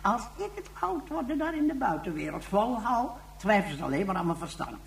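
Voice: mid-range voice, sing-song, a little fast, gravelly. An actor playing a bitter, cantankerous old recluse, scornful and emphatic, in a science fiction radio drama. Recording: roomy echo.

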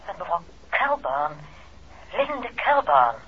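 A woman's voice: on the low side, sing-song, slow. An actress playing an anxious, secretive married woman speaking urgently over a telephone in a 1960s radio drama. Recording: muffled noisy old radio, phone line.

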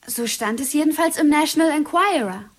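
A woman's voice: high-pitched, lively intonation, a little fast. A woman playing a childlike, volatile young outlaw in love, in a radio drama, with a naive, intense manner. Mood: needling.

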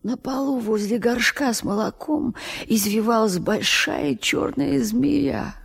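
A woman's voice: fairly high pitch, slow pace, creaky, background noise, heavily acted. A woman narrating a dramatized radio story, recalling childhood adventures as the storyteller. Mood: storytelling. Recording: music behind the voice.

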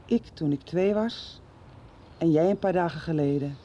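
A formal, earnest young woman who organizes everyone's escape , played by an actress. Her voice is mellow and soft-edged. It is a lo-fi 1990s radio soap with faint street noise behind. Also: low-pitched, moderate pace, close to an everyday voice. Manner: earnest, formal, taking charge and making plans. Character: earnest young woman who was hidden as a child, now protective.

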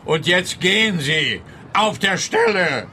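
Bright, forceful, officious policeman. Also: sits high, animated, takes it slowly, slight background noise, full character voice. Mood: pompous, lecturing. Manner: pompous, lecturing. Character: officious, exasperated, opinionated male police officer. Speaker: actor in a radio play. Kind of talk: radio comedy acting.

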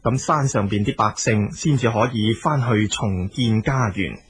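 A man's voice: lowish voice, a little slow, breathy edge. A man with a Cantonese accent narrating a scripted folk tale as a storyteller.

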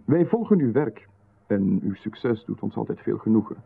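A low, husky man's voice with a Flemish accent an unnervingly calm stranger in a radio drama. He speaks steadily and insistently, as though he knows everything already. The sound is narrow and phone-like, like an old radio broadcast. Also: moderate pace, heavily acted. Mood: insinuating. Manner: calm, insistent, coolly overfamiliar. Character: calm, knowing male stranger who watches closely. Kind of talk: 1990s radio drama acting.

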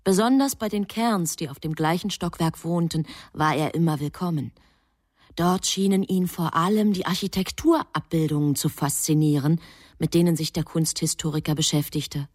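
An actress playing a composed angel who matter-of-factly narrates a human life in a radio drama. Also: female voice, deep voice, moderate pace, a little mellow, no echo, clean studio sound.

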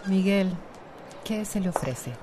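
An actress playing a guarded, skeptical, bold hairdresser in a radio soap. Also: female voice, medium pitch, lively intonation, slow pace, noisy background. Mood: cool, wary.

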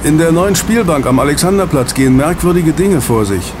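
An actor in an audio drama playing a friendly ex-agent who runs a snack bar, soothing and chatty. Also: male voice, on the high side, lively intonation, a little fast, close to an everyday voice. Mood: reassuring. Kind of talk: German audio drama dialogue. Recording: clear street and traffic ambience. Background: outdoor city street with vehicles.

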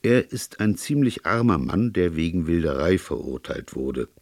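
A man voicing a grave, plain-spoken police colonel in a storybook reading, earnest, formal and deliberate. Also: low-pitched, very animated, darkish tone, no room sound, clean studio sound, heavily acted. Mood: grave, emphatic. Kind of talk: audiobook character dialogue.

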